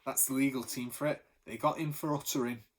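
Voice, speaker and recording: A man's voice: mid-range voice, steady tone, fairly quick, a little hiss or hum, lightly acted. A man voicing a blunt, offhand butcher in a storybook reading. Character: gruff plain-spoken butcher, middle-aged man.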